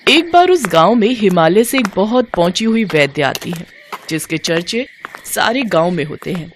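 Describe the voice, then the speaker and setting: A woman's voice: medium pitch, animated, moderate pace, slight background noise. A woman narrating a scripted children's bedtime story as storyteller, with music behind her.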